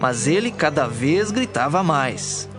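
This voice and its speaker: A man's voice: on the high side, sing-song, takes it slowly. A man with a Brazilian accent narrating scripted, dramatized scripture. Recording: clear music bed behind the voice.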